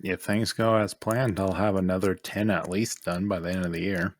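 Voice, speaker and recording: A man's voice: deep voice, level tone, moderate pace, no room sound, clean studio sound. A man co-hosting a podcast in casual, conversational talk. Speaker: podcast co-host. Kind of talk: casual chat.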